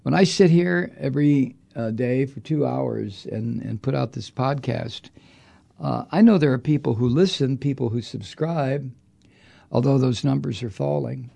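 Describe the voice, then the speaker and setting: A man's voice: mid-range voice, a little slow, gravelly, dark tone. A man hosting a political podcast, delivering an angry, profane monologue with heavy emphasis. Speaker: podcast host.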